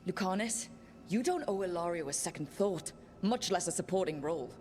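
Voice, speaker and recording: An actress playing a firm, loyal heroine in a video game, speaking earnestly and reassuringly. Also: female voice, lowish voice, lively intonation, brisk, a little mellow, soft background music, close to an everyday voice. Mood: insistent. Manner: earnest, determined.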